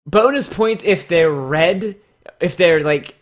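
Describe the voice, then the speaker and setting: A man's voice: a little high, takes it slowly. A man giving casual comedic commentary and mock dramatic readings in a sarcastic, theatrical, foul-mouthed way. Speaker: YouTube host.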